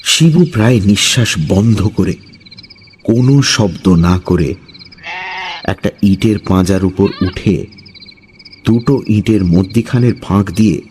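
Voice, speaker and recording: A man narrating a scripted horror story dramatically, with suspenseful pauses. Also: lowish voice, darkish tone, slight background noise. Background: music.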